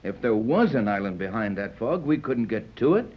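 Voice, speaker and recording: An actor playing a practical, skeptical yacht captain in a 1930s radio serial, speaking with firm authority. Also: male voice, lowish voice, big pitch swings, normal speed, husky. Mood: resigned, firm. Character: skeptical, commanding adult male yacht captain, steady and practical. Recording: dull lo-fi 1930s radio recording.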